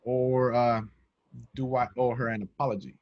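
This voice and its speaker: A man's voice: mid-range voice, fairly even intonation, normal speed, dull audio, lightly acted. A man reading the part of a cocky, street-smart young police recruit who talks back, in an amateur script read. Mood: defiant, explaining. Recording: home recording, remote reading.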